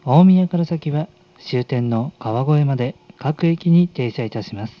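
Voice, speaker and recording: A man making formal, unhurried announcements as a train conductor. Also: medium pitch. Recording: dull recording over train speakers.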